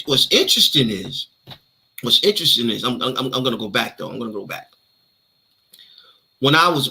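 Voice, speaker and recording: Animated New York man, bright voice. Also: a little high. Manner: streetwise slang, animated retelling. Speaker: co-host telling his story. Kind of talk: casual storytelling interview.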